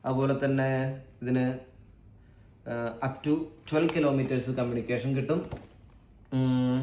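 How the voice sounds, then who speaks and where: Man, Malayali accent, mid-pitched voice, echoey room, faint noise, casual vlog commentary, thinking aloud. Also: steady tone, takes it slowly, warm tone. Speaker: vlog host.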